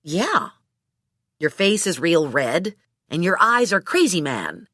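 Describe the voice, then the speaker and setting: A woman's voice: fairly low pitch, big pitch swings, takes it slowly, a bit bright, no room sound, clean studio sound, full character voice. A woman voicing a wry, teasing, sensible female character in audiobook dialogue. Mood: wry, placating. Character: wry, sensible woman calming her hot-headed male friend.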